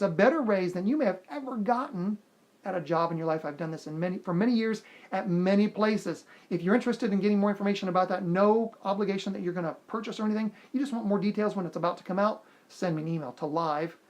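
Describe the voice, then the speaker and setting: An earnest man speaks straight to his viewers with motivational advice. He encourages them warmly and repeats his key lines to drive them home. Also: high-pitched, fairly quick. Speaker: motivational video host. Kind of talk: motivational self-help talk to camera.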